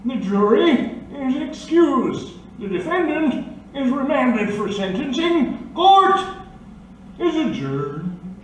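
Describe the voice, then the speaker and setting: A man's voice: extremely high pitch, very animated, takes it slowly, heavily acted. A man playing a stern, pompous judge with formal, declamatory delivery in a radio drama. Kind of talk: formal old-time radio drama acting. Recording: very echoey, distant, heavy noise.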